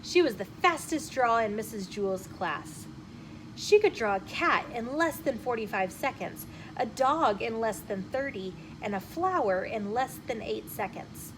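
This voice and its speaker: A woman storyteller reading a children's chapter book aloud in an expressive, emphatic way. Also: fairly high pitch, unhurried. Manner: animated, emphatic phrasing with acted dialogue. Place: inside a bookshop. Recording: noticeable room noise behind the voice.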